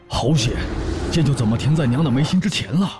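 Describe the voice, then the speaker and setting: A man's voice: mid-range voice, animated, fast talker, over music, close to an everyday voice. A man voicing an eager, placating orc husband who gives running commentary in a fan audio drama. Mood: amazed.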